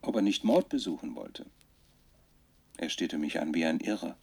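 A man's voice: rumbling low, animated, moderate pace, creaky, a little mellow, slight background noise, exaggerated voice. An actor playing an anxious male researcher who solemnly dictates a haunted diary onto cassette for a radio drama. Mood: agitated, rapturous. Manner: solemn, dated journal entries, breaking off.

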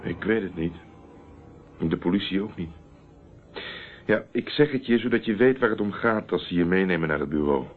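Deep-voiced sardonic investigator, phone-like recording. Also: male voice, moderate pace, long pauses. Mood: probing. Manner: dry, insistent questioning. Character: shrewd, sardonic male investigator, probing and unafraid. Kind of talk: Dutch radio drama acting. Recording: phone-like old radio recording, faint noise.

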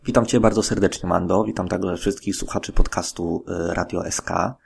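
A man giving a casual review as a podcast guest, hesitant and halting as he thinks aloud. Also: sits low, steady tone, vocal fry.

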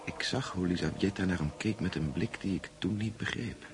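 A man's voice: low voice, normal speed, heavy background noise. An actor playing a grave man who narrates a story in the first person in a radio drama. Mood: grave, measured. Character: Pavel, grave male friend recounting a ghostly tale.

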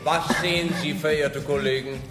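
An actor playing a pompous, blustering university rector in a radio play, declaiming in rhetorical flourishes. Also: male voice, fairly high pitch, steady tone, normal speed, over music, close to an everyday voice. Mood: commanding. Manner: declamatory, rhetorical, swinging from hush to outburst. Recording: echoey hall.

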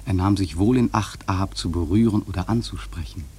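A man acting a grave sailor who narrates a radio play in a measured, solemn literary style with dramatic swells and a husky voice. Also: sits low, warm tone. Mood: solemn, ominous. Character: grave male sailor narrating a haunted whaling voyage.

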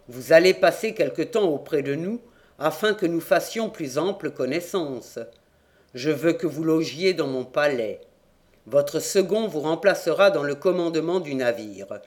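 A reader voicing an old king who is irritable and quick to anger, speaking in a gruff, blustering way. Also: mid-range voice, close to an everyday voice. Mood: pleased.

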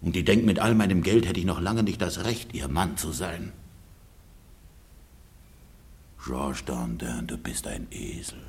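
A man playing a bitter, self-mocking wealthy peasant husband in a radio comedy, grumbling bluntly in a gravelly, husky voice. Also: deep voice, normal speed, exaggerated voice. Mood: bitter, hushed. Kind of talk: classic stage comedy acting in radio play.

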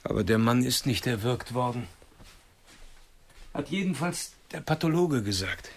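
A man's voice: mid-range voice, lively intonation, measured pace. An actor in a radio crime drama playing a down-to-earth, plain-spoken policeman. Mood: blunt, skeptical. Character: down-to-earth male crime-scene policeman, no intellectual.

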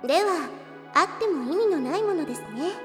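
Very high-pitched young anime girl, gentle, devoted, demure, formal polite phrasing, audio drama. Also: lively intonation, fairly quick, over music. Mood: calm, polite. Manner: very polite, hesitant, apologetic speech.

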